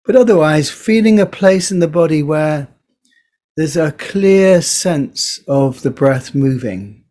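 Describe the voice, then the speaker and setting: Male meditation teacher, low-key body scan guide; very slow pace, gentle delivery, leaves space between phrases. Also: expressive. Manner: gentle, unhurried, pausing between short phrases.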